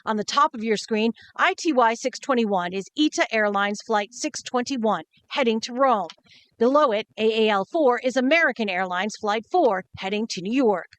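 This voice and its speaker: Higher-voiced woman reporting the news. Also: expressive, moderate pace, smooth. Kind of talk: scripted news narration.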